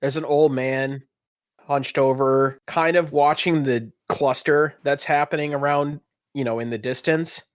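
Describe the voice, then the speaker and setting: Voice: medium pitch, fairly even intonation, a little slow, dry recording. A game master improvising tabletop narration and casual banter in a teasing, profane, dramatic way of phrasing.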